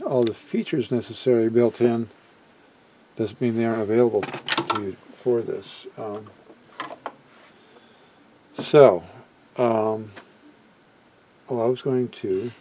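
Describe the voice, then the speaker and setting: A man presenting a hobby video in a casual, unscripted way, halting as he muses aloud and often trailing off mid-thought. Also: mid-range voice, warm tone, slight background noise, poor audio. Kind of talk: casual unscripted product walkthrough.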